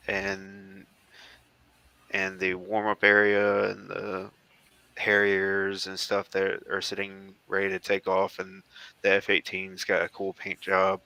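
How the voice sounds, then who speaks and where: Low, gravelly-voiced American man, halting. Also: sharp tone, no room sound. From southeastern United States. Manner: halting, rambling, trailing off. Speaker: guest, former Marine air traffic controller. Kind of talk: casual chat, talking through old photos.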